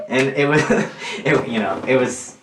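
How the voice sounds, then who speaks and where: A man of twenty-five, co-hosting a podcast, telling stories in an animated way with lots of fillers and frequent laughs. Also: mid-range voice, background noise. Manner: animated storyteller, laughs often, many fillers. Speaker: podcast co-host. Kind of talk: casual chat. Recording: roomy sound, mic at a distance.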